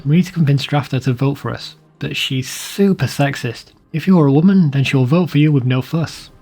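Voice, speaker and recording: A man's voice: mid-range voice, fairly quick, a little mellow, husky, soft background music. A man reading a scripted video game walkthrough in a plain, informal, instructional way. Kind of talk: scripted guide narration.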